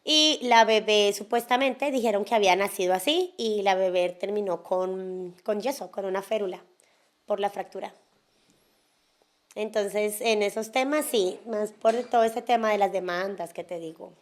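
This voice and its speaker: A woman with a Colombian accent from Cali, a guest in a podcast interview telling her story frankly in a relaxed way, with a lot of fillers. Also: medium pitch, normal speed. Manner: frank, relaxed, many fillers and little asides. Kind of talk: casual conversational storytelling.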